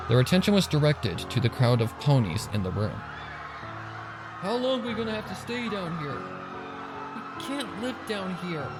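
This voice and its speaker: A man reading scripted dramatic story narration for a fan fiction. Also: medium pitch.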